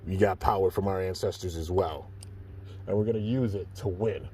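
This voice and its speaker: A man's voice: low voice, normal speed, lightly acted. A man doing a determined young hero. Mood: resolute. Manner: determined, earnest. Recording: distant mic, faint background noise.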